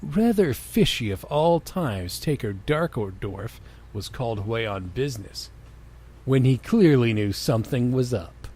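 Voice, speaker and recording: Male co-host and reader, medium pitch, very varied intonation, wry storytelling with casual sweary commentary. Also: expressive, measured pace, darkish tone, husky. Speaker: co-host reading fan fiction aloud.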